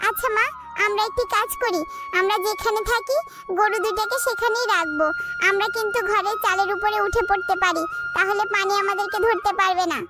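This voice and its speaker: A woman's voice: very high-pitched, fairly even intonation, a little fast, loud music behind the voice. A woman voicing a little jackal girl in a cartoon, childlike and plaintive, calling out to her mother. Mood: hopeful. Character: worried, pleading little jackal girl, the daughter. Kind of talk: cartoon voice acting.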